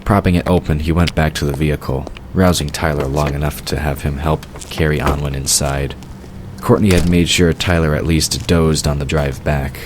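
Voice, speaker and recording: A man's voice: rumbling low, steady tone, normal speed. A man narrating a fantasy audio drama from a script. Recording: clearly noisy background ambience. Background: outdoor ambience sound effects.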